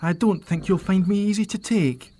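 An earnest young lord, acted by a man, in an audio drama. His voice sits high for a man and his speech is polite and a little formal. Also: normal speed, dark tone, lightly acted. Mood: defiant. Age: young adult. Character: earnest, worried young nobleman riding out alone.

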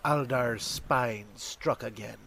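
A man's voice: medium pitch, slow, creaky, sharp tone, slight background noise, full character voice. A man playing a grave, courteous villager in an audio drama, speaking in a formal, old-fashioned way.

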